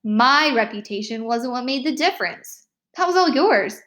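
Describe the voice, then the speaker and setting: A woman's voice: fairly high pitch, lively intonation, moderate pace, a bit bright. A woman voicing a cool, sincere, athletic middle-school boy in a storybook read-aloud. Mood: sincere, impressed.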